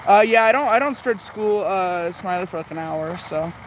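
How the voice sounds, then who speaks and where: A man's voice: sits high, moderate pace. A young man chatting casually as he hosts a live stream about his radio hobby. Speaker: shortwave radio hobbyist livestreamer. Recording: clearly noisy outdoor or in-car recording.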